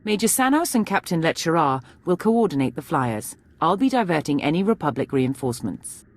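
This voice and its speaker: An actress playing a brisk, authoritative military major giving briefings in a video game. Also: female voice, deep voice, big pitch swings. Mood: businesslike. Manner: crisp military briefing delivery.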